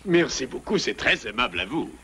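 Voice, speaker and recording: A man's voice: mid-range voice, big pitch swings, fairly quick, fairly bright tone, slightly rough. A man voicing a polite, big-bellied beaver father in a French cartoon, with courteous wording. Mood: courteous, admiring. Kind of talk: French cartoon dub acting.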